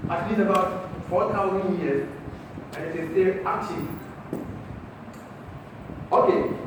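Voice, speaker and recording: Ghanaian man, mosque guide for a school group, high voice, heard from a distance with hiss behind. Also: slow pace. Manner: patient, explaining, asks "okay?" and "eh?". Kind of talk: informal teaching talk. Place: large mosque hall. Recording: echoey hall from far off, with noise.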